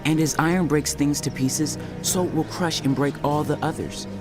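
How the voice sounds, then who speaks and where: An actor playing a devout, solemn seer in a dramatized audio Bible, speaking in reverent, measured, declamatory phrases. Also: male voice, mid-range voice, natural voice. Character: Daniel, devout, solemn young Hebrew seer.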